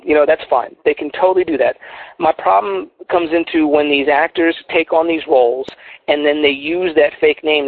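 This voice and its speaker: A man's voice: fairly high pitch, no echo. A man from Philadelphia with a faint Philadelphia accent, talking earnestly and rambling on a call-in radio show, with many restarts. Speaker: guest. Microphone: phone. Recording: tinny phone line, dry.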